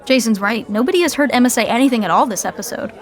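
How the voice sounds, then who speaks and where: A woman narrating a scripted sitcom podcast with wry, deadpan asides. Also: a little high, rapid-fire, a crowd in the background.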